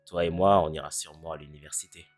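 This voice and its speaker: Someone doing a deep-voiced gloomy teen boy. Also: fast. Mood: earnest. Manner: deadpan, self-deprecating. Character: gloomy cynical teenage boy confessing. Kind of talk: French fan dub. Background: faint music.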